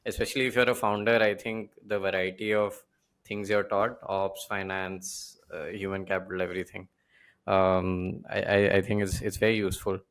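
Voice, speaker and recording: Male podcast host, low flat voice, chatty, repeats words when jumping in. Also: normal speed, smooth. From India. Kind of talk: casual interview chat.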